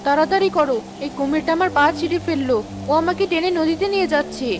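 Lady doing worried cartoon village mom, high pitched, anxious scolding, rain noise clearly behind. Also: fast talker, natural voice. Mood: pained pleading. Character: worried village wife and mother, cautious and caring. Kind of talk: dramatic Bengali animated story acting.

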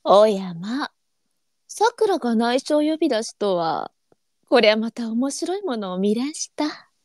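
A woman playing a gentle, teasing senior courtesan, an indulgent big sister with elegant old brothel speech. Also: on the high side, very animated, a little slow, no echo, clean studio sound. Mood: amused.

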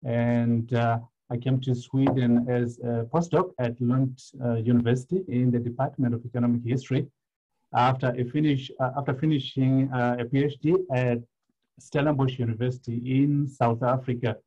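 A man from Zimbabwe presents his research over a video call at a mid pitch, hesitating often and filling gaps with "uh". The audio is dull and lo-fi, typical of call compression. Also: steady tone, normal speed, dark tone. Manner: hesitant, many "uh" fillers. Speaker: guest speaker, economic historian. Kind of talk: academic online presentation.

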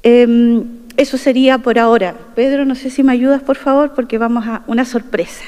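High-voiced woman in a reverberant chamber. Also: steady tone, moderate pace, warm tone. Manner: earnest and proud, stressing key phrases. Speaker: constitutional convention delegate. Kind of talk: formal opening speech, partly read aloud. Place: large wood-panelled assembly chamber. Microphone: desk microphone at a podium. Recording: long reverb of a large chamber.

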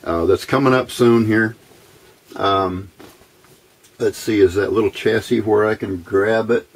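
A man giving a casual, unscripted demonstration at a workbench, halting and thinking aloud as he goes. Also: on the low side, steady tone, a little hiss or hum. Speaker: hobbyist presenter. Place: indoors at a workbench.

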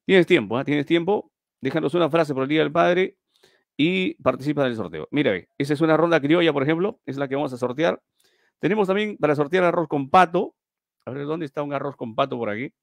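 A man's voice: on the high side, animated, fairly quick, vocal fry, dry recording. A middle-aged man hosts a live stream giveaway from a small room on a webcam, chatting, repeating himself and reading out viewers' names.

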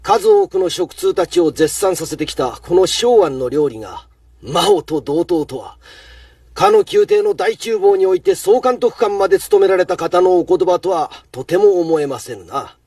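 An actor playing an arrogant middle-aged villain chef in an anime, sneering and haughtily formal. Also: male voice, high voice, lively intonation, normal speed, slightly rough, no room sound. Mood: indignant, challenging. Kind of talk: anime acting. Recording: distant sound under loud music.

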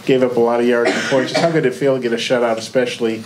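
A man's voice: medium pitch, steady tone, very fast. A man working as a sports reporter, asking conversational, multi-part questions at a press conference. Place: indoor press conference room. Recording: noisy press room.

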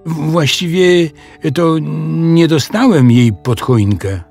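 A man voicing an excitable, proud schoolboy in a children's audio story, gushing and boastful. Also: a little high, slow pace, faint music behind, pitched above the performer's own voice, heavily acted. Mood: proud, excited.

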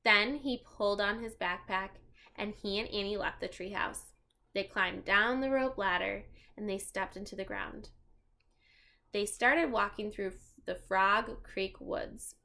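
A young adult woman reading a children's book aloud in an expressive, animated manner, talking directly to her young listeners. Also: medium pitch, a little slow, vocal fry. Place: small furnished room at home.

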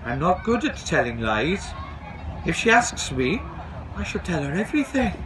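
A man voicing an earnest, worried, excitable male engine driver in a storybook reading. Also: fairly high pitch, normal speed, over a noisy crowd, heavy background noise, voice pushed up. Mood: worried, reluctant. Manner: earnest, indignant. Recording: outdoors with background bustle.

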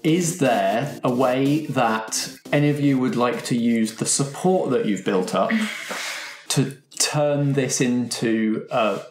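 A man's voice: medium pitch, slow pace, soft background music. Male game master in an echoey room. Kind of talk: casual improvised game narration. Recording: roomy echo, clearly noisy background.

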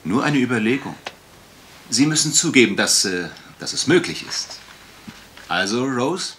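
An actor playing a politely relentless English gentleman detective in a German radio crime drama, questioning with insinuation. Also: mid-range voice, lively intonation, unhurried, slight background noise. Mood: insinuating, pressing.

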